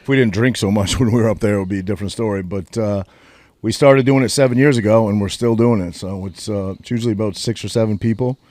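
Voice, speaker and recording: A man's voice: fairly low pitch, fast, darkish tone, no room sound. A man, co-hosting a podcast and telling a rambling road-trip story with plenty of swearing. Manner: rambling, profane, storytelling with filler words. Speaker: podcast co-host. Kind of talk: casual storytelling chat.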